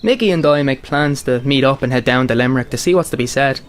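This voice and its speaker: An actor with a Northern Irish accent playing a wry, matter-of-fact old friend in a radio play. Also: male voice, mid-range voice, quick pace, lightly acted. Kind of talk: radio drama dialogue and monologue.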